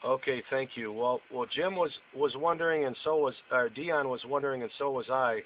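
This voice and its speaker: A man's voice: mid-range voice, moderate pace. Man chatting over noisy radio. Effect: two-way radio transmission. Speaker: amateur radio operator. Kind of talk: casual radio contact chat. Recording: noisy, muffled radio audio.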